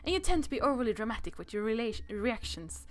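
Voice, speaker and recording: A woman voicing a blunt, scornful traveling fighting teacher in a visual novel game, lecturing in a measured way. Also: on the high side, big pitch swings.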